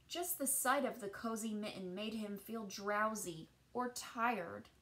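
A woman in her thirties reads a picture book aloud to children like a teacher, with deliberate, expressive emphasis on key words. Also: mid-range voice, low-quality recording.

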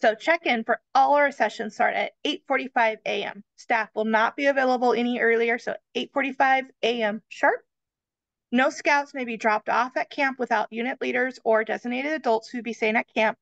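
A woman's voice: a little high, fairly even intonation, moderate pace, gravelly, fairly bright tone, dry recording. Woman chatting through camp rules, dull dry sound. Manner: friendly, addresses listeners as you guys. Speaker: camp staff presenter. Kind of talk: slideshow briefing. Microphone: webcam on video call.

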